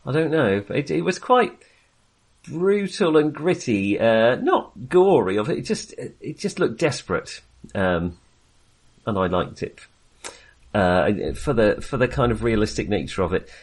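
A man's voice: medium pitch, sing-song, unhurried, breathy edge. A man co-hosting a film podcast in casual conversation, with a hesitant, rambling and wry way of talking.

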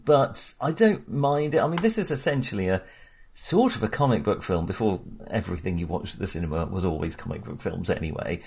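A man with a low, slightly husky voice talks through a film with a co-host. He rambles, restarts his sentences and chuckles between points in an easy, casual way. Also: lively intonation, brisk, a little mellow. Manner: rambling, restarts often, laughs while talking. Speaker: podcast co-host.

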